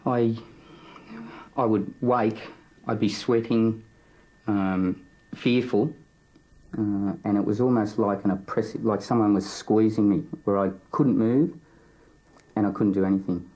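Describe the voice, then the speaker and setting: A man gives unscripted, halting testimony as a patient. His voice is lowish and dark, and the recording is slightly dull. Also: steady tone. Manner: halting, searching for words. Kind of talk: unscripted personal recollection. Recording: dull, muffled sound.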